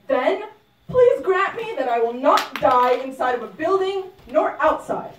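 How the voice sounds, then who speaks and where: A woman's voice: high voice, very animated, a little slow, a little hiss or hum. A woman voicing an imperious demon king in a puppet show, pleading formally in a declamatory way. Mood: demanding. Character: demon king begging a god for immortality, demanding, imperious. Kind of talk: puppet show storytelling, theatrical. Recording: roomy echo, distant microphone.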